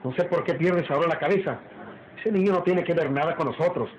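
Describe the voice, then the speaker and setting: An actor playing a stern, proud master of the house in an old radio drama, speaking formally and emphatically. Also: male voice, fairly high pitch, fast, close to an everyday voice. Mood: stern, lofty. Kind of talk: old Latin American radio drama acting. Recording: muffled old radio serial, background noise.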